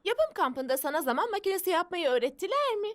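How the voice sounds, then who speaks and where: Nerdy young cartoon girl, extremely high, lively pitch, skeptical, shy, dramatic. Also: fast. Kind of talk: animated children's cartoon dub.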